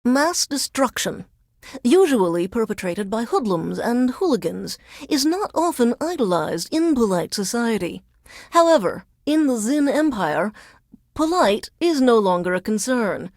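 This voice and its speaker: A wry newswoman stuck working as a game radio DJ. Her voice sits fairly high and moves with lively inflection, yet she keeps a formal, deadpan newsreader delivery. The sound is close and dry. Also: measured pace, dry recording. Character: wry woman news reporter reduced to radio DJ. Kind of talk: scripted radio DJ announcing.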